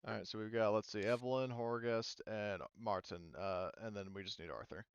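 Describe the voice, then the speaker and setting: A man's voice: on the low side, fairly even intonation, normal speed, clean tone, no room sound, lo-fi sound. A man acting as game master, explaining and narrating a tabletop game in a casual, conversational way.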